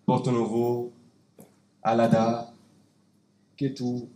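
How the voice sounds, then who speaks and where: A man's voice: mid-range voice, monotone, slow pace, mellow. A man from Canada giving a conference presentation, talking through slides with many fillers and restarts. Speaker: conference speaker. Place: conference hall. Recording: echoey hall, faint background noise.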